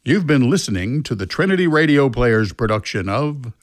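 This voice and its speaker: A man's voice: mid-range voice, big pitch swings, normal speed, soft background music. A man reading a scripted radio credits announcement as announcer.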